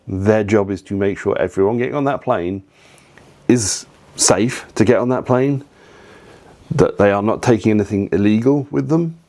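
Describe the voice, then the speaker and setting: A man talks through family travel tips in an easy, chatty way. His voice sits fairly low. He drifts into opinionated asides and pauses on plenty of ums. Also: darkish tone. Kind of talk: casual advice talk to camera.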